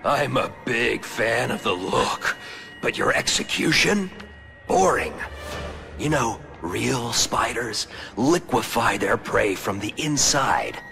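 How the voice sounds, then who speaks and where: An actor playing a sadistic, mad serial killer in a video game, gravelly and taunting, with theatrical drawn-out delivery. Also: male voice, medium pitch, expressive. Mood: menacing taunting. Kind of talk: video game cutscene acting. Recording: music under the voice.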